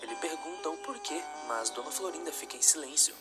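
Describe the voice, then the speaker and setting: A man reading a scripted narration of a dark story. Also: a little high, animated, brisk, over loud music.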